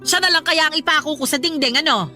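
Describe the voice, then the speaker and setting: A woman's voice: sits high, quick pace, bright, forceful tone. A woman with a Filipino accent playing a bitter, long-suffering middle-aged mother in a dramatic, grudging way for a Tagalog radio drama. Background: music.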